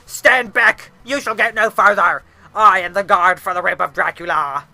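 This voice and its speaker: A person voicing a menacing, boastful flying eyeball monster from a storybook, with drawn-out, growling, bombastic exclamations. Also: medium pitch, vocal fry, pushed and bright, dry recording, pitched above the performer's own voice. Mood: menacing, scornful.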